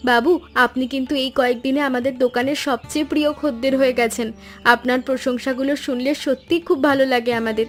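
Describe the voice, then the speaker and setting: High-voiced caring young granddaughter, played by a woman. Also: steady tone, fast talker, faint music behind, natural voice. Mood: polite, friendly. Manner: polite, earnest. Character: caring, hardworking young granddaughter serving at family shop. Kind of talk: cartoon story dialogue.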